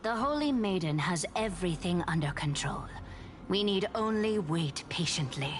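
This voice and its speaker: Low, composed temple woman played by an actress. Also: expressive, normal speed, a little hiss or hum, lightly acted. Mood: soothing. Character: reassuring, composed female temple follower.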